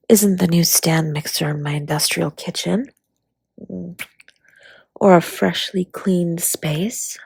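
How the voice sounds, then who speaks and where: A woman playing a flirty, affectionate dragon baker spouse in a romantic roleplay audio, with a breathy, husky, teasing delivery. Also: on the low side, a little mellow, no echo, exaggerated voice. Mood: flirty, intimate. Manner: teasing, intimate, often slipping into breathy whispers.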